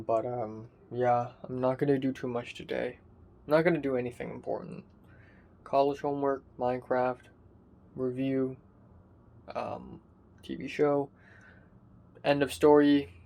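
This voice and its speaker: A young man rambling through a casual video diary with many ums and restarts, his voice slightly raspy. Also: mid-range voice, little pitch movement, a little slow, long pauses, dark tone. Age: young adult. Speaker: vlogger.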